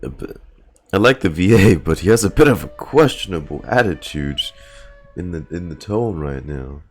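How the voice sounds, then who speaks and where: Lowish-voiced man reacting to a game. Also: lively intonation, faint music behind. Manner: slangy, halting and repetitive. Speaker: streamer reacting to a game story. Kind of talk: casual live reaction commentary.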